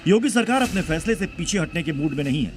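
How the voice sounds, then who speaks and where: A man's voice: on the high side, expressive, quick pace. A man narrating a scripted TV news report in a dramatic style. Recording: loud music bed behind voice. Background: background music.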